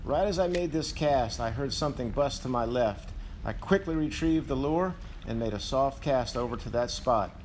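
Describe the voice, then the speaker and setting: A man's voice: medium pitch, lively intonation, moderate pace, few pauses, darkish tone, a little hiss or hum. Man narrating his fishing trip. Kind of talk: recorded voice-over narration.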